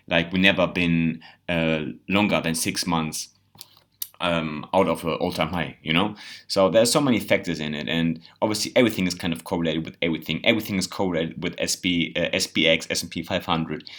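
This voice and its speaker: A man's voice: deep voice, a bit bright. A man from Germany with a German accent, a native German speaker, giving a rambling, earnest casual monologue as a crypto vlogger. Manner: rambling, earnest, repeats words and says "you know".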